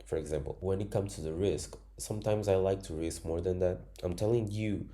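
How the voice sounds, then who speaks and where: Very deep-voiced young man, Spanish native. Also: brisk, warm tone. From Cuba. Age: 20s. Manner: earnest, plain explaining in imperfect English. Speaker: trading educator. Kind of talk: screen-share tutorial.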